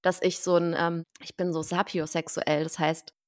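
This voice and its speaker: A woman hosting a casual chat podcast, lively, laughing often and using many fillers. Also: on the low side, normal speed. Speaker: podcast host.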